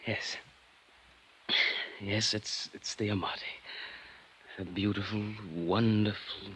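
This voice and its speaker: An actor playing a dazed, troubled violinist in a vintage radio drama, speaking faintly and hesitantly. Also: male voice, fairly low pitch, fairly even intonation, slow pace, fairly bright tone, a little hiss or hum. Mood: awed. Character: dazed, troubled male violinist under suspicion.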